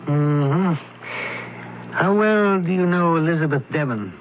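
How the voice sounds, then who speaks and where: An actor playing a formal, probing, commanding criminologist in a radio mystery drama. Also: male voice, a little high, unhurried, natural voice. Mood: inquisitive. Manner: formal, precise questioning. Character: male criminologist and investigator, probing, commanding, learned. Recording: noisy, tinny old radio broadcast.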